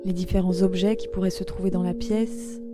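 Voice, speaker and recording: A woman's voice: fairly low pitch, steady tone. Woman guiding relaxation over prominent music. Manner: soothing, gentle invitations with pauses. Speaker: yoga nidra guide. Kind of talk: guided relaxation meditation. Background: meditative music with ringing tones.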